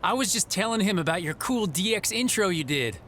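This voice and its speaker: A man playing an eager, talkative young American rookie wrestler in a video game cutscene, chatty and excitable. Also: high voice, brisk, a little hiss or hum. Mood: boastful, eager.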